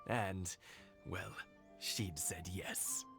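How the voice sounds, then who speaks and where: A man's voice: deep voice, steady tone, very slow, vocal fry, heavily acted. A man playing a sentimental, good-humoured elderly village husband in a video game, telling things like a fond storyteller, with music behind him. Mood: reminiscing, tender. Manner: reminiscing, gently boastful storyteller.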